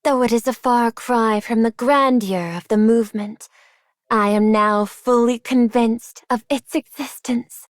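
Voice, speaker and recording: A woman's voice: on the high side, measured pace, no echo, clean studio sound, close to an everyday voice. A woman playing an earnest, thoughtful idealist in a video game, speaking in an articulate, formal way. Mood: earnest, hopeful. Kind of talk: video game character voice acting.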